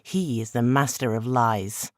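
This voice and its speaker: Male narrator as a firm, wary warrior woman, slightly raised pitch, dry close read. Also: steady tone, a little slow, dry recording, natural voice. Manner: firm, warning. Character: fierce female warrior spirit, mother, wary.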